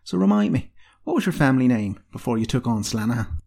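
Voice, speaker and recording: A man's voice: medium pitch, very animated, fast talker, vocal fry, warm tone. A man voicing an old female healer, a wry and stern but tender mistress with a dry, teasing, commanding way of talking. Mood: teasing, gentle. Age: older.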